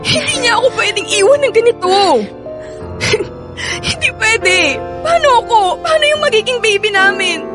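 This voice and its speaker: A very high-voiced young pregnant Filipino woman in a radio drama. She is a betrayed partner, emotional and insistent, repeating her words as she pleads. Music plays clearly behind her. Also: expressive, moderate pace. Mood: crying, pleading. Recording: dramatic music bed under the voice.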